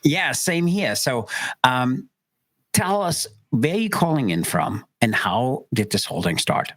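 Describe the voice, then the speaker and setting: A man's voice: mid-range voice, sing-song, measured pace, a bit bright, dry recording. A man hosting a podcast interview, enthusiastic and a little rambling in his casual questions. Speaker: podcast host.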